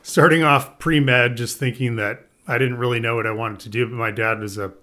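A man who grew up in the Midwest, a winemaker talking as a podcast guest in a relaxed, rambling way. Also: mid-range voice, normal speed. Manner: relaxed, rambling, with fillers and laughs. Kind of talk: casual interview chat.

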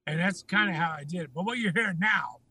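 Older Texan man, higher voice, laughing storyteller. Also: quick pace, sharp tone, breathy edge. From Texas. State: slightly changed voice from breathing trouble. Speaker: guest, former TV news anchor. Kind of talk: casual interview.

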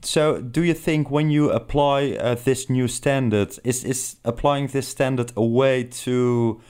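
A man with a clear, smooth voice works as an interviewer. He puts his questions conversationally and a little tentatively, carefully rewording them as he goes. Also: mid-range voice, fairly even intonation, unhurried, darkish tone.

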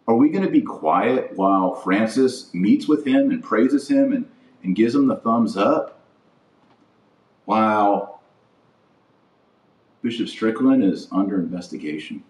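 A man with a fairly low voice delivers a podcast monologue of religious commentary. He is indignant and emphatic, building his points through repetition and rhetorical questions. The room echoes noticeably and he sounds a little away from the microphone. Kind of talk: impassioned opinion monologue to camera. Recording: echoey room, slightly distant mic.

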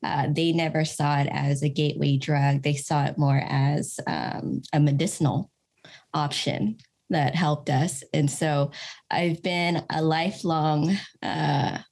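A woman guest telling stories in a chatty, animated way, laughing often. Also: low-pitched, slow pace. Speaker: guest, cannabis advocate. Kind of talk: chatty interview storytelling.

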